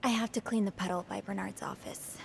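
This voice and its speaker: An actress playing a curious, evasive young hotel maid in a mystery game, speaking in an earnest, hesitant way. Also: female voice, mid-range voice, fairly even intonation, fairly quick, gravelly, a little hiss or hum. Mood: pensive, hushed. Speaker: video game character. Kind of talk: narrative mystery game voice acting.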